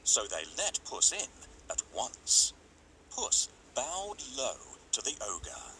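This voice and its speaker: A man narrating a scripted children's fairy tale as a dramatic, expressive storyteller. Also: medium pitch, slow pace, noisy background.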